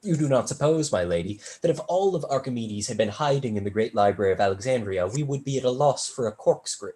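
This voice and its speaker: A performer playing a witty young male tutor in a staged period play, speaking in a dry, measured, articulate way. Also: medium pitch, expressive, dark tone, natural voice. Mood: wry, philosophical.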